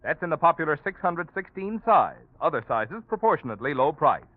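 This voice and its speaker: Forties American male sponsor announcer, lively inflection, dry close sound, tinny old-radio band. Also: fairly high pitch, fairly quick, dry recording.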